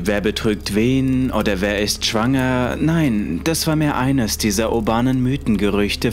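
A man narrating a scripted first-person horror story. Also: medium pitch. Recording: music under the voice. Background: music.

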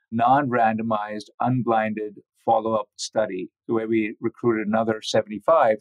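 A man giving measured, methodical explanations as an interviewed medical researcher. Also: on the low side, no echo, low-quality recording.

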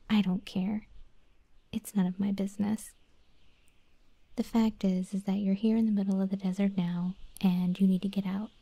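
A very soft, dark-toned whisper, close and dry in the ear. A woman plays a cocky young scorpion monster girl in an ASMR roleplay, aloof and teasing, reluctant to show her kindness. She takes her time with every slangy line. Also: medium pitch, no echo. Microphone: close, dry ASMR microphone.

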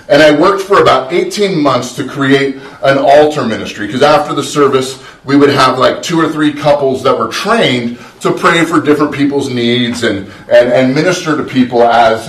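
A middle-aged pastor preaches in an earnest, conversational way, often doubling back on his words. His voice sits at an everyday male pitch. It is captured from across a small sanctuary, so it sounds distant and roomy. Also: normal speed. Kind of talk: sermon. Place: small church sanctuary, indoors. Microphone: far camera, no visible mic. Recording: roomy echo from far off.

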